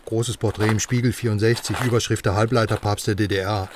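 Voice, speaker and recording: A man's voice: fairly low pitch, steady tone, a little fast, recorded from a distance, a little hiss or hum, close to an everyday voice. A man playing a pushy, self-assured journalist in a radio crime drama, talking at length in a persuasive, self-important way. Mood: eager, explaining. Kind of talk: naturalistic radio drama dialogue.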